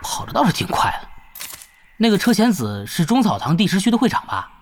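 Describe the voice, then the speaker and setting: An actress playing an excitable young woman gamer who explains game skills. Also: medium pitch, a little fast, a little hiss or hum, lightly acted. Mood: lively. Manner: excitable, chatty.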